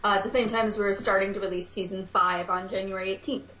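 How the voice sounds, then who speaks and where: Female guest, mid-pitched, friendly and direct, roomy echo. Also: steady tone, quick pace, fairly bright tone. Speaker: guest, production manager. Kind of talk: casual podcast interview. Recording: echoey room.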